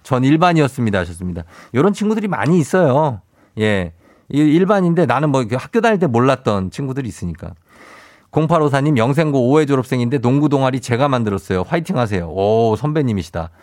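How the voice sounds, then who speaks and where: A man's voice: mid-range voice, very animated, fast talker, a little mellow, no room sound, clean studio sound. A man in his forties hosting a radio quiz in a playful, encouraging way, reading out listener messages. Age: 40s. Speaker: radio quiz show host. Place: radio studio.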